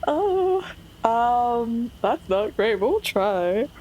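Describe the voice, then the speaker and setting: A woman's voice: on the high side, sing-song, drawn-out words, darkish tone, lo-fi sound. Woman player thinking aloud, noisy recording. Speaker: player in a tabletop game. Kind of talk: casual narration of a character's actions.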